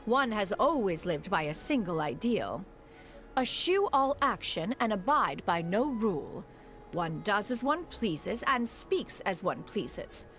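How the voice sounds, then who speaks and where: An actress playing a haughty, ancient immortal mentor in a video game, with pompous archaic speech. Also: female voice, mid-range voice, sing-song, slow pace, fairly bright tone. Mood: proud. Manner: pompous, archaic speech, refers to self as "one". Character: haughty ancient adeptus bird in woman's form, imperious mentor. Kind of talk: scripted video game dialogue. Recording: music playing behind.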